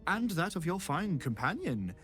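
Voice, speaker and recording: A man's voice: sits high, very animated, moderate pace, a bit bright, soft background music. A man playing a smug, oily wizard villain in a fantasy video game, theatrical and unctuously polite. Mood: oily politeness.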